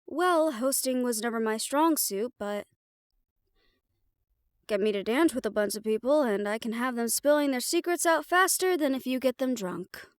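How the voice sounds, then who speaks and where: A sardonic, bossy siren from a fantasy audio drama voiced by a woman, slightly high in pitch. She speaks with sarcastic emphasis and sounds close and dry, quick to show exasperation. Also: lively intonation, smooth, no echo, natural voice. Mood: wry, teasing. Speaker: character in fantasy audio roleplay. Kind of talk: dramatic audio roleplay acting.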